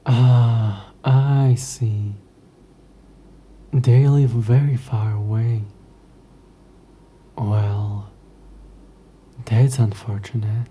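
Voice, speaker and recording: A man's voice: mid-range voice, fairly even intonation, extremely slow, pauses often, dark tone, muffled recording, slight background noise. A man playing an ancient, possessive demon in an intimate audio roleplay, speaking to the listener in a formal, wry, murmuring way. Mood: wry, curious. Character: ancient possessive male demon, sardonic, curious, teasing.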